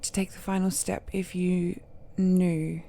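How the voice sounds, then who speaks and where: A woman playing an ancient godlike villainess in an intimate audio drama, seductive, possessive and falsely tender, with coy endearments and a breathy voice that often drops to a whisper. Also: fairly low pitch, level tone, mellow. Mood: coyly confessing. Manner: slow, coy, drawn-out endearments with teasing murmurs.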